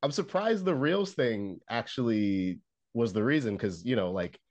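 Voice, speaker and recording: A man's voice: mid-range voice, lively intonation, a little slow, a little mellow, dry recording. A young man chatting as a podcast guest, rambling with restarts and upbeat energy. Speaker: podcast guest, comic artist and animator. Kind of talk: casual chat.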